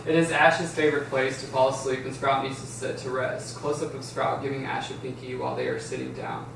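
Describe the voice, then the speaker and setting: A man's voice: mid-range voice, expressive, brisk, creaky. A man reading scripted stage directions aloud at a table read, sometimes stumbling and restarting. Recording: very echoey room, distant mic, background hiss.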